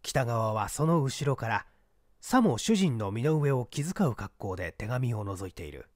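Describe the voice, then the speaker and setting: A man's voice: medium pitch, very animated, moderate pace, a little mellow, no room sound, studio quality. A man reading mystery fiction aloud as a dramatic literary narration. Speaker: audiobook reader.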